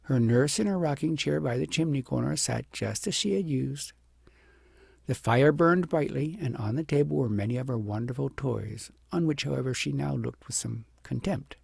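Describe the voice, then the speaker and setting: A man narrating a storybook as an audiobook, reading in a gentle, expressive way. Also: mid-range voice, normal speed, creaky, slightly rough, no room sound.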